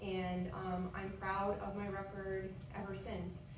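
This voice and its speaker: Flat-voiced woman in an echoey hall. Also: mid-range voice, slow pace. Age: 30s. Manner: earnest, steady, with frequent ums. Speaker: city commissioner. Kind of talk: candidate forum opening statement. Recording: echoey hall, far from mic, noisy.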